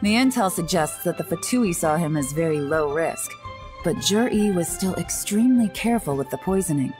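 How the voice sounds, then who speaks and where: A woman voicing a shrewd, composed young female intelligence agent in a video game, speaking in a measured, confident way. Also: on the low side, animated, natural voice. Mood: cool, analytical. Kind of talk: video game dialogue acting. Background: game music.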